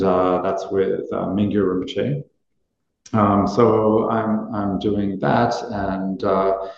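A man's voice: low-pitched, gravelly, darkish tone, heavy reverb, highs cut off. A man who grew up in Australia and Nepal, introducing a meditation course to a live class, hesitant with many ums and restarts.

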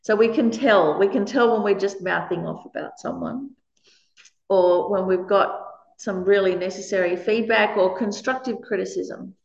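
Aussie woman giving a dharma talk, big loud voice, laughs a lot, roomy echoey room, kinda dull sound. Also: medium pitch, normal speed, creaky. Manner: frank, laughs often between points. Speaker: Buddhist teacher. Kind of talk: dharma talk answering questions. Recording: roomy, slightly dull recording.

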